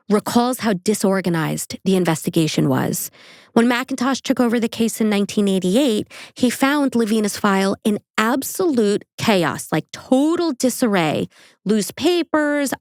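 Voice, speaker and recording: A woman's voice: medium pitch, a little mellow, dry recording, studio quality. A woman with a New York accent hosting a true crime podcast, reading the case and breaking off for casual, joking asides.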